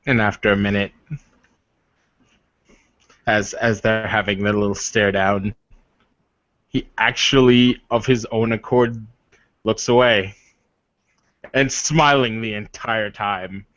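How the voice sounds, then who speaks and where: A man acts as game master, improvising narration for a tabletop game. His voice is fairly low, and he talks in a halting, colloquial way. The recording is dull and roomy. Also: fairly even intonation, fairly bright tone. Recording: muffled, echoey room recording.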